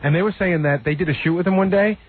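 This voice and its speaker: A man's voice: high-pitched, fast talker, a little hiss or hum. A man speaking as a radio show producer, casually passing on anecdotes over a tinny broadcast.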